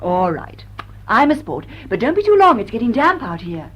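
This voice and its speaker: Husky, flippant upper-class English lady. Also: fairly high pitch, big pitch swings, normal speed. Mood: teasing, blunt. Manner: breezy, teasing, blunt.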